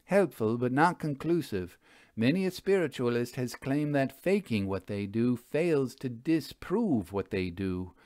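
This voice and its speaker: A sharp, commanding woman investigator as played by a male narrator speaks in a dry, exacting way. Her audiobook dialogue is formal and pointed, heard in a close, dry recording. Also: medium pitch, expressive, a little slow, dry recording, close to an everyday voice. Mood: dry, snarling.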